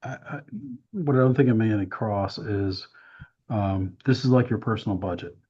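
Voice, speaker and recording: Hoarse man explaining a budget. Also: on the low side, moderate pace. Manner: hesitant, many "um"s, explains plainly. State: losing his voice. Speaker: town staff presenting the budget. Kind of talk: budget presentation at a council workshop. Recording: dull, muffled meeting audio.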